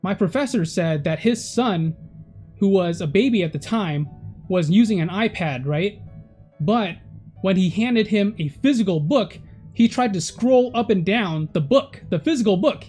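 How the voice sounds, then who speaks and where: A man's voice: high-pitched, lively intonation, a little slow, darkish tone, breathy edge, faint music behind. Easygoing Vietnamese-born man vlogging. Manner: easygoing, chatty, with small self-corrections and light laughs. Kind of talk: personal vlog storytelling. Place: indoor room at home. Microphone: camera facing him, head and shoulders.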